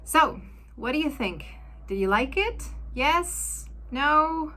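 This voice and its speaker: A woman reading aloud to young children in an expressive, deliberate storytelling manner. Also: high-pitched, slight background noise. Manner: deliberate, addressing young listeners with questions. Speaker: storybook reader and language assistant. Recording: background music track. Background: instrumental music backing.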